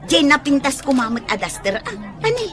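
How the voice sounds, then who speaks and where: An actress with an Ilocano accent playing a sullen, nagging, quick-tempered wife in a comedy radio drama. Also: female voice, high voice, sing-song, fairly bright tone. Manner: scolding, irritable.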